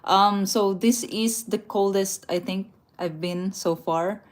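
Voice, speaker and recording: A woman's voice: mid-range voice, steady tone, slow. A woman from the Philippines, chatting casually on a travel livestream, laughing often and restarting her sentences. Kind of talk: casual live chat, reading viewer comments aloud. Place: indoors, a rented room at night.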